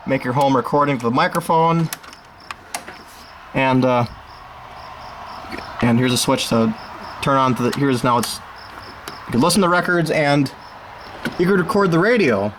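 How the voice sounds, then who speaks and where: A man's voice: medium pitch, a bit bright, slight background noise. A man giving an informal, unscripted show-and-tell as a hobbyist collector, rambling along with folksy enthusiasm. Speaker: vintage radio collector presenting his own channel.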